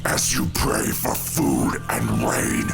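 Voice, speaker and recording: A man playing a starving, grieving alien father turned bitter unbeliever in a motion comic, gravelly, breathy, weary and intense. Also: low voice, slow, over music, heavily acted. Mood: furious defiance. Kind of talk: dramatic motion comic voice acting.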